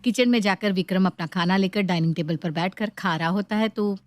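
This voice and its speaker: A woman's voice: medium pitch, brisk, dry recording. A woman reading scripted narration as the storyteller of a domestic tale.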